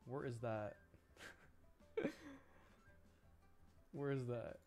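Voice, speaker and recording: A man's voice: medium pitch, steady tone, drawn-out words, dark tone, faint music behind. A man doing casual live gameplay commentary as a streamer, talking to himself in exasperated questions. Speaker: game streamer.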